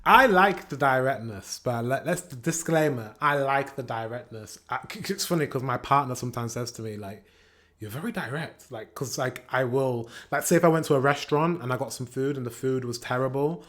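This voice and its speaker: A man's voice: mid-range voice, normal speed, fairly bright tone. Chatty British man. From England. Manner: chatty, acts out example exchanges. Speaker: reaction video host. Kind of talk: casual opinionated commentary.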